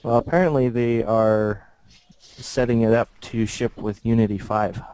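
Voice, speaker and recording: A man's voice: mid-range voice, fairly even intonation, a little slow, mellow, clear, resonant tone, low-quality recording. A man on a livestream team, chatting casually and explaining an idea with easygoing fillers. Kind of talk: casual group chat.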